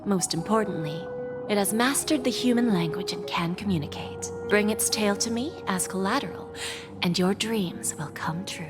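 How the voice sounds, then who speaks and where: An actress playing a poised, alluring financier in a video game, speaking in a deliberate, seductive and sly manner. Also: female voice, mid-range voice, natural voice. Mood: sly, composed. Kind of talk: dramatic video game voice acting. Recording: loud music behind the voice.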